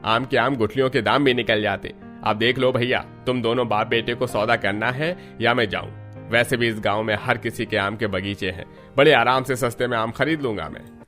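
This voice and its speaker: A man's voice: medium pitch, steady tone, normal speed, a bit bright, faint music behind, lightly acted. A man playing an arrogant, stingy fruit trader in a cartoon story, haggling in a haughty, dismissive way. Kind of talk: Hindi cartoon story dialogue.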